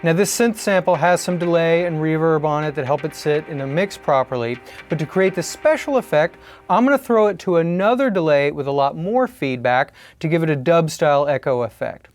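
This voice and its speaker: A man's voice: fairly high pitch, brisk, few pauses, soft background music. An American man in his forties giving plain-spoken, scripted tutorial narration in a studio. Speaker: tutorial host. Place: studio, indoors.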